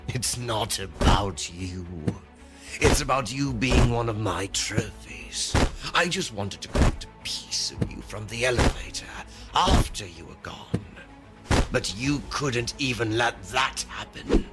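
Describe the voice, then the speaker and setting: A man's voice: mid-range voice, expressive, unhurried, lightly acted. An actor playing a vicious male serial killer in an audio drama, menacing and contemptuous toward his victim. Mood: cold, gloating. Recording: very loud music behind.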